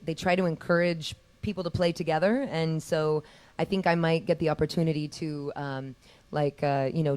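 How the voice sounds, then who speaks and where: Low-voiced woman, easygoing. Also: darkish tone. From Texas, USA. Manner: easygoing, sprinkles French words, laughs often. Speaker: musician guest. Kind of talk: casual interview chat. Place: TV studio.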